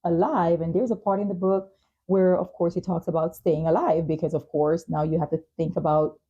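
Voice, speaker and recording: A woman hosting a podcast interview, speaking in an earnest, measured, reflective way with frequent pauses. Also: lowish voice, steady tone, warm tone, husky, dull audio. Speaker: talk show host and advocate.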